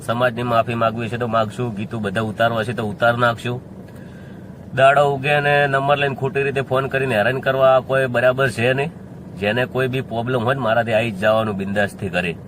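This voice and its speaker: North gujarat guy talking to viewers, defiant and insistent, repeats himself, normal pitch, noisy background. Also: fairly even intonation, brisk, a bit bright. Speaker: singer addressing community critics. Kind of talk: informal spoken address to viewers.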